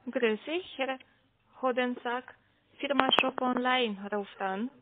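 A woman with a fairly high voice talks in a businesslike way, as a call centre agent would. The sound is a narrow, tinny phone line. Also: slow pace, noisy background. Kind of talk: phone delivery notification.

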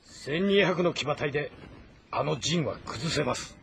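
A man playing a shrewd, composed ancient Chinese military strategist in a game drama, speaking formally and in measured explanations. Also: fairly high pitch, animated, gravelly, fairly bright tone, a little hiss or hum, heavily acted. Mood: resolute. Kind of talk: dramatic audio-novel acting in a video game.

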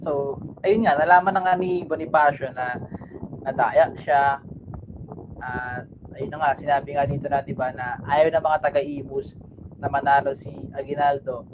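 A man's voice: medium pitch, fairly even intonation, moderate pace, long pauses, dull audio. A young man with a Filipino accent giving a class report on a video call, talking casually with many fillers and tag questions. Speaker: student presenter. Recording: video call audio with background noise.

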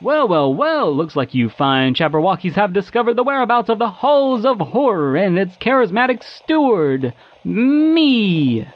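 A man's voice: high-pitched, big pitch swings, a little slow. A man playing a flamboyant, verbose eldritch demon showman, grandiose and theatrical, in a comedy audio sketch. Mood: grand, teasing. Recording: dull, band-limited lo-fi sound.

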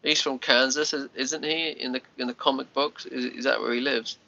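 A Scottish man in his thirties, lying on a sofa and rambling to his livestream viewers, often restarting his words and sounding a little congested. His voice is bright and edged. The phone recording sounds dull and lo-fi. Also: mid-range voice, steady tone, normal speed, gravelly. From Scotland. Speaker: livestream host. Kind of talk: casual live chat with viewers. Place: small bedroom, lying on a sofa. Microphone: phone held at arm's length.

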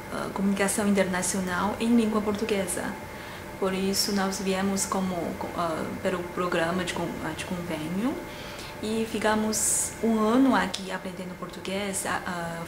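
A woman in her late twenties from China, with a Chinese accent and Mandarin as her first language. She answers interview questions haltingly, filling pauses with many "ah" sounds as she searches for words. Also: mid-range voice, noisy background. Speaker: graduate student and language teacher. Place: indoor office room with a globe and plants. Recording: roomy with audible background ambience.

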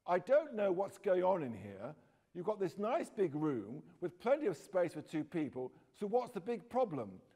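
A man reading aloud, voicing a blustering, angry middle-aged stepfather who shouts loudly. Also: high voice, huge pitch swings, normal speed, husky, long reverb tail, heavily acted. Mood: exploding. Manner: loud and exploding.

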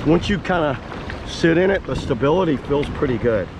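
Excited male paddler, high-pitched, narrating on a helmet cam over roaring water. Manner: excited, exclaiming, loose talk. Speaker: vlogger trying a slalom kayak. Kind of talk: casual commentary while paddling. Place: outdoors on a whitewater river. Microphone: helmet action camera. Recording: loud rushing water noise.

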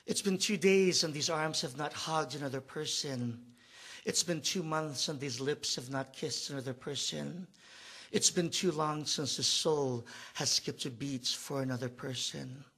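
A man in his thirties on a theater stage, performing spoken word at a stand mic, moving from a frantic comic rant to a hushed lyrical lament. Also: a little high. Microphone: stand mic, far camera.

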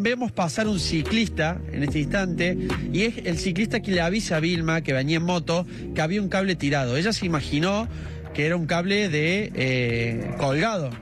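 A man in his thirties with an Argentine accent, reporting live from a street with a handheld mic and lots of fillers. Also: sits high. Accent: Argentine Spanish. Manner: many "eh" fillers, measured. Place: outdoors on a residential street.

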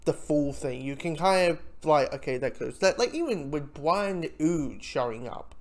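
A reviewer talking casually and off the cuff, in a hesitant, halting way with frequent false starts. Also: mid-range voice, expressive.

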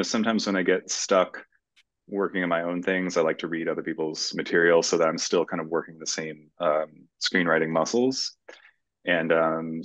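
Low-voiced man on a muffled video call. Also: fairly bright tone, no echo. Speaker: screenwriter guest. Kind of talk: casual interview chat. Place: room at home, indoors.

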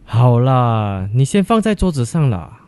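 A man plays an impatient, stressed young male student in a radio drama. His mid-pitched, slightly dark voice swings up and down as he gives curt replies, over a low rumbling noise. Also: very animated, measured pace, clean tone. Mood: irritated.

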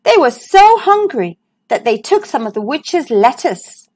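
Slow, sing-song woman reading a storybook. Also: sits high, sing-song, a little mellow. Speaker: storyteller, channel host. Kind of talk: reading a picture book aloud to children.

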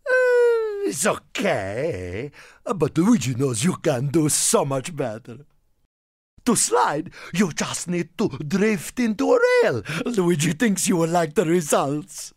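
A man voicing an excitable, warm-hearted Italian car in a video game, with an Italian accent and a theatrical manner. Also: a little high, takes it slowly, no room sound, clean studio sound, heavily acted. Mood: friendly, helpful. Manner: theatrical, refers to himself in third person. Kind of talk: animated video game character lines.

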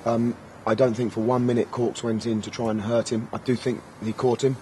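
Man, 30s, football manager, post-match answers, measured and filler-heavy, recorders outdoors, light street noise. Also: mid-range voice, level tone, warm tone. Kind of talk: post-match press interview. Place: outdoor press area by a sponsor backdrop. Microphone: handheld recorders held close.

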